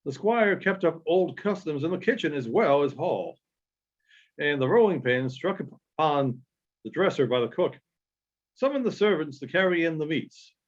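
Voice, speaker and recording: Halting male story reader, dull lo-fi sound. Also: a little high, lively intonation. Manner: halting, stumbling delivery with frequent pauses. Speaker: audiobook reader. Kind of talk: literary narration read aloud.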